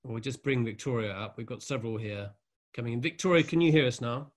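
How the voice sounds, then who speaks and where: A man hosting an online conversation event, asking gentle, measured questions and reading out questions from the audience. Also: medium pitch. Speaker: host, moderator. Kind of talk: online panel interview.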